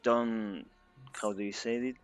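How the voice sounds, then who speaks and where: A man interviewing a guest, speaking haltingly with many restarts and fillers. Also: lowish voice, steady tone, clear, resonant tone, no echo, faint music behind. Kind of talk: casual interview. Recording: dull lo-fi sound.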